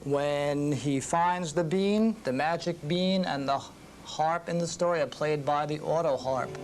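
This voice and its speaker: A woman narrating a children's story in simple, deliberate phrases, with musical instruments behind her. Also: low voice, noisy background.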